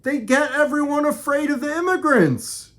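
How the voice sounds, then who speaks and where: A man delivering an informal opinion monologue in a halting, preachy manner, often repeating and rephrasing himself. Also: very high-pitched, fairly bright tone. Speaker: video creator.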